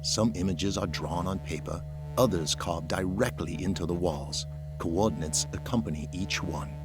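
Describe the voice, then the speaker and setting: A man's voice: low-pitched, moderate pace. A man giving dramatic scripted narration as the narrator of an audio drama. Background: music.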